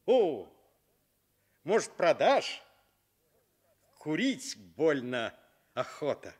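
A man voicing a cheerful, teasing bearded soldier in a radio fairy tale, with hearty drawn-out exclamations. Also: fairly high pitch, sing-song, husky, exaggerated voice. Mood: wheedling.

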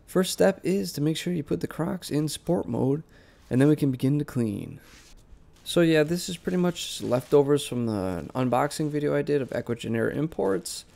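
Sick, nasal man with gravelly voice. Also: fairly high pitch, normal speed. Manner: relaxed, rambling, conversational. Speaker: plant channel host. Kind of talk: casual voice-over vlog narration. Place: basement plant room.

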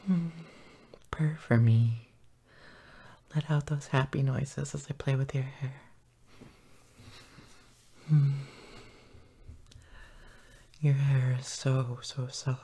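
A man in an intimate boyfriend roleplay, half-whispering tenderly and with gentle dominance, with soft hums, close to the microphone. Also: medium pitch, steady tone, takes it slowly, vocal fry, pauses often, slightly rough. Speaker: audio roleplay performer.

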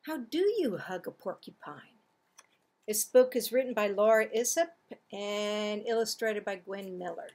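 A woman in her sixties reading a children's picture book aloud, expressively, with drawn-out words and pauses. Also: mid-range voice, darkish tone. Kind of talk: children's storybook read-aloud. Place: small home office, indoors.

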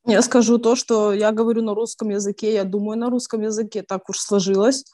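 A young woman, an evacuee, answers an interview on a video call, earnest and halting with many fillers. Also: mid-range voice, steady tone, dark tone.

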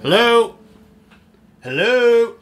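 An actor playing an eager, rambling, over-familiar middle-aged divorced dad in an audio drama. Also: male voice, extremely high pitch, drawn-out words, soft background music, full character voice. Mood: eager, loud. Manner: stammering, over-familiar, excitable.

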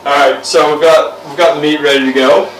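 A young man ambles through a home cooking demo, full of "uh"s and easy asides. His voice is picked up from a camera across the kitchen, so it sounds roomy and a little distant. Soft clatter of pans sits underneath. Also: a little high, fairly quick, slight background noise. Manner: relaxed, rambling, lots of fillers. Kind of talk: casual cooking demonstration. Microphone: camera mic from across the room.

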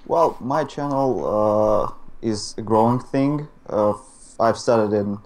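A man's voice: lowish voice, takes it slowly, a little mellow. A man in his twenties with a Russian accent, answering interview questions in a hesitant, easygoing way. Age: 20s. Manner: hesitant, laughs between phrases. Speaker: interview guest, YouTuber. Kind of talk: casual online interview.

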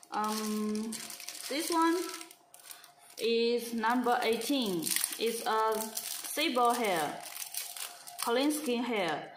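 A woman from a brush company unboxing a gift set at a table, speaking in halting, simple phrases with frequent restarts. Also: fairly high pitch, reverberant, background noise. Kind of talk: product unboxing walkthrough. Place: indoor showroom at a marble table.

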